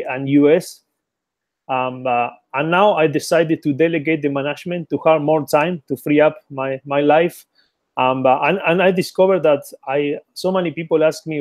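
A man's voice: fairly high pitch, a little mellow, no room sound. A man from Argentina, a native Spanish speaker with an Argentine accent, talking earnestly as a guest over a remote call, with restarts and non-native phrasing. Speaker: guest entrepreneur. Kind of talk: casual interview chat.

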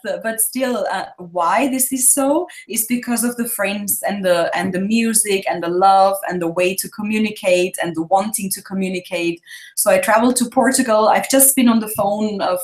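Enthusiastic woman on a call. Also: medium pitch. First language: German. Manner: enthusiastic, talkative, laughs often. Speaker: travel blogger guest. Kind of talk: casual interview.

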